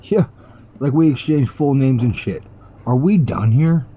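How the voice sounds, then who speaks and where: A man's voice: medium pitch, lively intonation, unhurried, highs cut off, slight background noise. A man playing a cynical, defiant space vagabond in a science fiction audio drama, terse and sardonic. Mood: sardonic, guarded.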